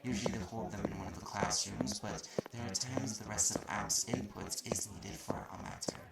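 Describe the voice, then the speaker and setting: A man's voice: on the low side, gravelly, reverberant. A man playing a haughty, slightly mean magic-university student in an audio roleplay, explaining things in a curt, condescending way. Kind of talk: audio roleplay acting addressed to the listener. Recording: noisy recording with clicks.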